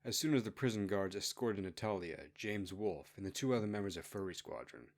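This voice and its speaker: A man narrates a novel in a low-pitched voice. The delivery is scripted storytelling, read out as an audiobook. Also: lively intonation, a little fast. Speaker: author narrating own novel.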